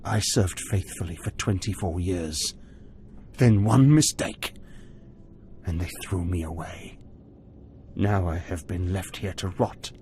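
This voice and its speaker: An actor playing a middle-aged disgraced Sith warrior in a video game, a desperate prisoner speaking with solemn, pleading pride. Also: male voice, deep voice, takes it slowly, long pauses, mellow, slight background noise. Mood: bitter despair. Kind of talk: video game cutscene acting.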